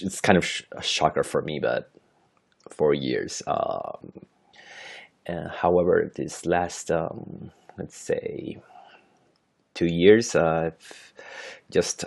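Hesitant Chilean man, very low voice. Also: creaky. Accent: Chilean Spanish. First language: Spanish. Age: 30s. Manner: hesitant, many fillers and self-corrections. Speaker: podcast host. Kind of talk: unscripted solo podcast.

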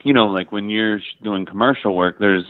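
A low-pitched middle-aged American man from the Midwest tells stories in a loose, filler-heavy way, swearing casually as he goes. His voice comes through a narrow, phone-like line with a dry sound. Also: normal speed, no echo. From Ohio and Michigan, US. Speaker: guest photographer. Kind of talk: casual interview chat.

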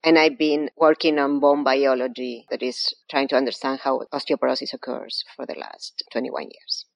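A woman's voice: very deep voice, flat delivery, normal speed, gravelly. A woman from Argentina with an Argentine Spanish accent, a professor explaining her research patiently and methodically as an interview guest.

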